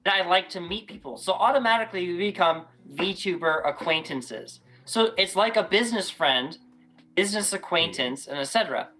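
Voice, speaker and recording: Woman, podcast guest, animated, bright tone, lively pitch. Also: normal speed, low-quality recording. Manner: animated, chatty, restarts often. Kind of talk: casual podcast chat.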